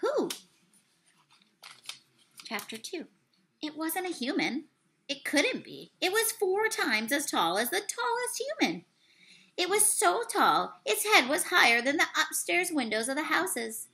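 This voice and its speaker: Young female teacher, webcam read-aloud at home; lively, bright storyteller who drops to a hush for suspense. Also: high voice, measured pace, long pauses. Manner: expressive storytelling, swells and hushes for suspense; apologizes for slips and losing her place. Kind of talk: children's book read-aloud. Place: small room at home, plain wall behind. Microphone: webcam at desk distance.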